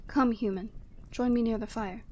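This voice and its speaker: A woman playing a proud, commanding yeti woman in a scripted ASMR roleplay, speaking in an imperious, measured and formal way. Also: fairly high pitch, fairly even intonation, warm tone, muffled recording, slight background noise, close to an everyday voice. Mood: stern, bargaining.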